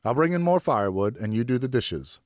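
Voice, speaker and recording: A man's voice: mid-range voice, very animated, fairly quick, no echo, clean studio sound, voice pushed up, close to an everyday voice. A man voicing a genial French father and former officer, encouraging and instructive, in an audiobook. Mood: brisk, encouraging. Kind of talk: audiobook character dialogue.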